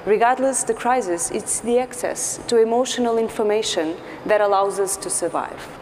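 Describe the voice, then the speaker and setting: A woman in her thirties from Portugal with a Portuguese accent, giving an earnest conference talk as a psychologist, pausing often with fillers. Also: on the high side, darkish tone. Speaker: clinical psychologist speaker. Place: large dark stage. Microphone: lapel mic. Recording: large hall with faint noise.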